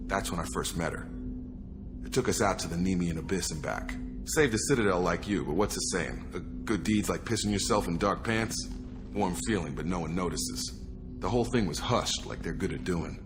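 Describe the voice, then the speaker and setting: A man playing a principled, guarded soldier in a video game, speaking in a measured, frank way. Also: low voice, music in the background, close to an everyday voice. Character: principled, guarded soldier on a space warship crew. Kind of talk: video game cutscene voice acting.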